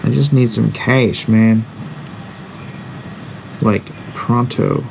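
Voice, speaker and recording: Man, 40s, creaky mid-range voice, offhand livestream chatter with slangy asides, faint room hum behind. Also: fairly low pitch, steady tone, extremely slow. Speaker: comic artist hosting a live drawing stream. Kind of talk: casual livestream chat, reading and answering viewer comments. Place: indoors at a drawing desk.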